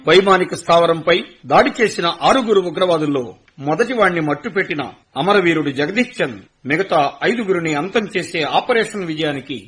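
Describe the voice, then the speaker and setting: A man narrating a radio play in a measured, commemorative tone. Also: fairly high pitch, animated, fairly bright tone, breathy edge. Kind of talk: scripted radio drama narration.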